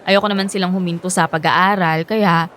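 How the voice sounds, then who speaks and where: A woman's voice: fairly low pitch, steady tone, fairly quick, slight background noise, close to an everyday voice. An actress with a Filipino accent playing a humble, self-doubting working woman in a radio drama, speaking hesitantly. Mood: resigned, regretful. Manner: hesitant, humble, soft hums before answering. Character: self-doubting working woman who quit college to support siblings. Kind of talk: Filipino radio drama acting with reflective narration.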